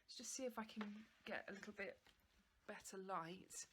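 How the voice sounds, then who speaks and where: A woman's voice: medium pitch, normal speed, fairly bright tone, a little hiss or hum. A woman vlogger giving a casual, chatty and understated makeup review to the camera in an informal way.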